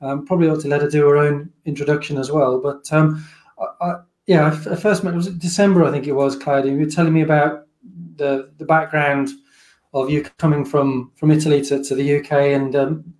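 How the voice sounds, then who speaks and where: A man's voice: a little high, steady tone, fairly quick, a little mellow, rough recording. A British man co-hosting a podcast, chatting casually and telling anecdotes with frequent restarts. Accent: British English. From Britain. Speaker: podcast co-host. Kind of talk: casual conversation.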